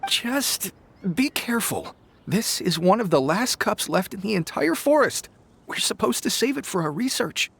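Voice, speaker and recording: A man's voice: high voice, very animated, normal speed, gravelly, fairly bright tone, slight background noise, heavily acted. A man voicing a bumbling, boastful paper forest creature in a video game, theatrical and self-important. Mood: indignant. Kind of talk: animated video game voice acting.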